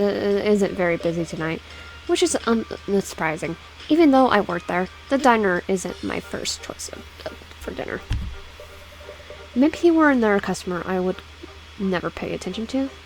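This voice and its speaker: Female game streamer, slightly low-pitched, casual read-aloud with stumbles and restarts, music behind. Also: animated, low-quality recording. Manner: halting, stumbles over words and repeats phrases. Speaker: let's player reading a visual novel aloud. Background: background music.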